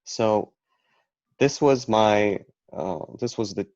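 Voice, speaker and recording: A man, talking as a guest about his own past in a halting way, with many restarts and fillers. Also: on the low side, a little mellow, no room sound, muffled recording. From Ukraine. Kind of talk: personal storytelling.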